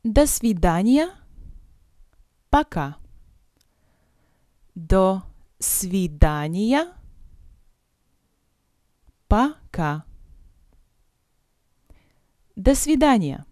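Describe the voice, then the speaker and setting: Slow, mellow woman teaching words. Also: mid-range voice, sing-song, no room sound, clean studio sound. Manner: careful enunciation, repeats each phrase then splits it word by word. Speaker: language teacher. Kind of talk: vocabulary drill.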